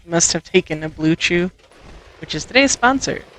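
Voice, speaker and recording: A woman with a bright, forward voice chats on a game livestream. She teases her friends in a casual, joking way, with faint ambience behind her. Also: fairly low pitch, lively intonation, moderate pace, low-quality recording. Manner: joking, teasing. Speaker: livestream gamer and host. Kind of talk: casual gaming chat.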